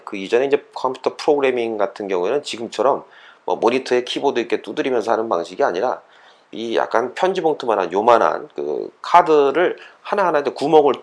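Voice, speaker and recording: A man giving an explanatory, lecture-style talk as a host, conversational and fond of posing rhetorical questions. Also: medium pitch. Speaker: host of an educational talk series for parents and children.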